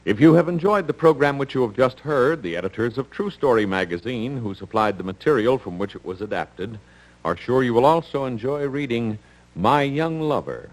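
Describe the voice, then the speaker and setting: A man's voice: mid-range voice, animated, normal speed, poor audio. An American man hosting a radio drama, reading scripted narration in a 1950s announcer style.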